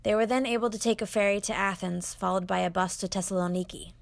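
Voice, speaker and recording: A woman hosting, who reads her narration clearly and asks short, encouraging questions. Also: medium pitch, fairly even intonation, fast. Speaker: host and interviewer. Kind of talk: scripted narration and casual interviewing.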